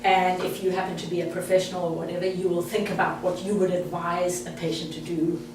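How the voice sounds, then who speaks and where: A woman's voice: on the low side, little pitch movement, fast talker. South African woman presenter, friendly and chatty with the crowd, in a roomy hall well back from the mic. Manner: friendly, direct, chatty with the audience. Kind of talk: interactive talk to an audience. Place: meeting room. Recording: echoey room, far from the mic, faint hum.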